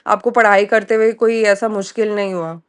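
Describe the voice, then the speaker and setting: A woman's voice: medium pitch, fairly even intonation, moderate pace. A woman with an Indian accent interviewing casually over a call, inquisitive and often rephrasing her questions. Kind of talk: casual question-and-answer conversation. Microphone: call recording.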